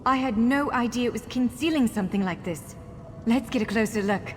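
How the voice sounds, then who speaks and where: A woman's voice: fairly high pitch, fairly quick, lightly acted. A woman playing an earnest, encouraging female elf scholar who guides a hero in a fantasy video game. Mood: awed. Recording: long hall reverb, faint music.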